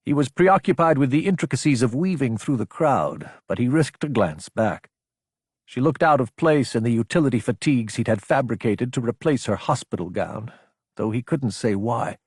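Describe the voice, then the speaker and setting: A male audiobook narrator reads dramatic fiction. His voice sits at a middle pitch with a husky edge, and the recording is very dry, like a small treated studio. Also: expressive, moderate pace, no room sound.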